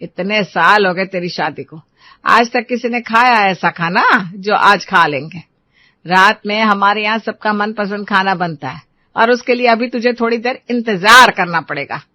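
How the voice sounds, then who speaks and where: A woman's voice: mid-range voice, a little slow, sharp tone, dry recording. A woman voicing an older mother-in-law who is stubborn and scornful, with a whining, grumbling delivery in a story.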